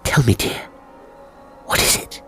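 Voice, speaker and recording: A man voicing an anxious, pleading young English woman in an audiobook, breathy, earnest and insistent. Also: measured pace, fairly bright tone, faint music behind, natural voice. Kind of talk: audiobook character acting.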